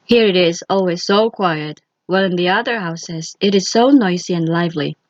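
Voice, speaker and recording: Casting: gentle, earnest peasant dad played by a woman, pitched down a little, storybook read, dry and slightly muffled. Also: moderate pace, dry recording, natural voice. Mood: wistful. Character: kindly farmer father, adult man, gentle, doubtful. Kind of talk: children's storybook read-aloud.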